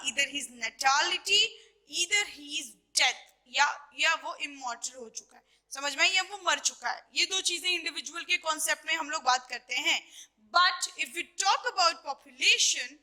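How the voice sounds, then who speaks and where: A woman's voice: high voice, sharp tone. A young woman with an Indian accent teaching biology, mixing Hindi and English, explaining step by step and often checking whether students understood. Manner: explanatory, asks frequent check questions.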